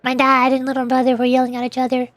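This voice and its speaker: A woman with a very high voice talks casually to her livestream audience during a game. She is playful and giggly, fond of light teasing. The sound is dry and close, with very little room in it. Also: monotone, quick pace, dry recording. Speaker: VTuber livestream host. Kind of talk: casual chat with stream viewers while playing a game.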